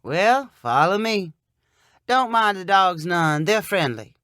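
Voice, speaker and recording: A woman's voice: medium pitch, sing-song, slow pace, fairly bright tone, no room sound, clean studio sound. Woman putting on a folksy, drawling rural Southern man. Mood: easygoing. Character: laid-back rural Southern man, a catfish seller. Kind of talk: audiobook character dialogue.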